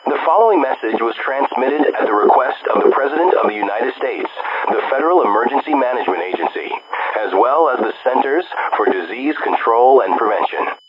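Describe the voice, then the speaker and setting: A man reading a scripted national emergency broadcast in a measured, official tone. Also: mid-range voice, expressive, a crowd in the background. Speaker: national emergency message announcer. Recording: dull lo-fi radio broadcast with humming noise.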